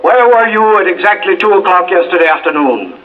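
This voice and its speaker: An actor playing a suspicious, commanding gate guard barking questions over a loudspeaker in an old radio drama. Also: male voice, sits high, animated, fairly quick. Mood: stern, demanding. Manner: barking, interrogating, imperious. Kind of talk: 1950s radio drama acting. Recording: tinny, phone-like old radio recording.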